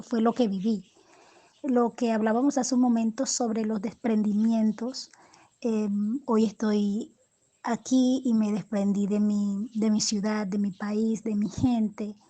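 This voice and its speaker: A Colombian woman poet giving reflective interview answers, halting and restarting often as she searches for words. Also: medium pitch, warm tone. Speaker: poet and storyteller, interview guest.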